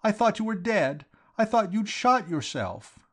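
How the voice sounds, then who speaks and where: A man's voice: sits high, very animated, a little slow, a bit bright, husky, pitched above the performer's own voice, full character voice. A man voicing a distraught young woman in love, gushing with emotion in a storybook reading. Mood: tearful relief.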